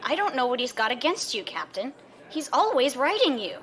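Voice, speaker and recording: An actress playing a friendly, loyal starship executive officer, respectful and chatty, in an audio drama. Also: female voice, sits high, very animated, moderate pace, a bit bright. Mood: concerned.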